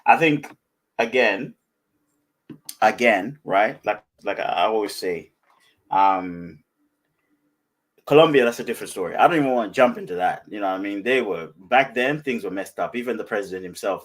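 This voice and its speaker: A man's voice: on the low side, moderate pace, low-quality recording. A man in his thirties co-hosting a podcast in casual conversation from home on a video call, often repeating words as he goes. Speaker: podcast co-host. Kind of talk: casual discussion, reading viewer comments. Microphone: video call with headset.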